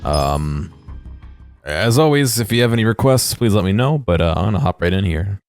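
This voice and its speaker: Low-pitched man, casual commentary. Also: animated, moderate pace, faint music behind. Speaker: video creator commentating. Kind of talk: casual unscripted gameplay commentary.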